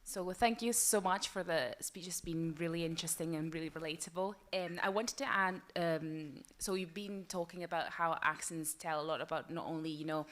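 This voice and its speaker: A woman's voice: fairly low pitch, steady tone, normal speed, hardly any pauses, fairly bright tone. A woman in the audience politely asking a question, with a few fillers.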